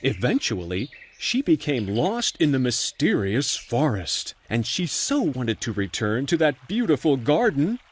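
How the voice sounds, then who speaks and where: A man's voice: mid-range voice, wildly swooping pitch, unhurried, hardly any pauses, slight background noise. A man narrating a scripted children's storybook tale as its storyteller. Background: faint music.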